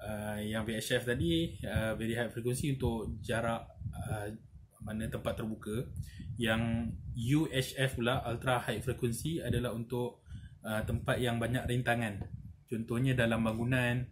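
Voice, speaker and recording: A man's voice: mid-range voice, steady tone, moderate pace, noisy background. A man with a Malaysian accent giving a casual, explanatory product review, often adding little checking tags. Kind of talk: casual unboxing and review talk.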